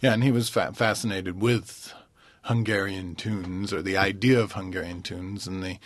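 A man, a concert pianist, answering interview questions thoughtfully and pausing often to find his words. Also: low voice, fairly even intonation, no echo. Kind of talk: relaxed radio interview.

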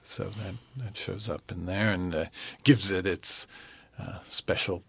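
A man, a concert pianist, answering interview questions thoughtfully and pausing often to find his words. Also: deep voice, steady tone, creaky, fairly bright tone, slightly rough, dry recording. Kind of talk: relaxed radio interview.

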